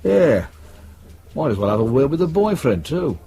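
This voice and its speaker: An actor playing a brisk, world-weary British detective sergeant in a radio drama, reassuring but patronising and colloquial. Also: male voice, medium pitch, sing-song, dark tone. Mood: wry, offhand. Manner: matter-of-fact, patronising, colloquial.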